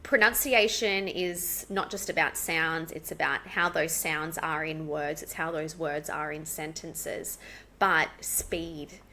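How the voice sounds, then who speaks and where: An Australian woman, an English coach, talking fast and animated as a guest in a casual interview. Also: deep voice, a little hiss or hum.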